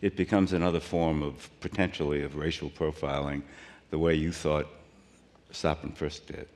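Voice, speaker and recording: A man moderating a panel, asking questions with halting restarts. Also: extremely low pitch, steady tone, darkish tone. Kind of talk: interview questions. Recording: reverberant hall, off-mic.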